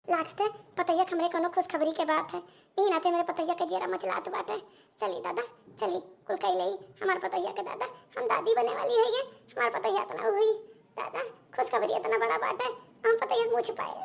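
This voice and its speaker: A woman's voice: extremely high pitch, creaky, full character voice. A woman voicing a fussing, effusive middle-aged mother-in-law with an Awadhi accent in a comedy cartoon.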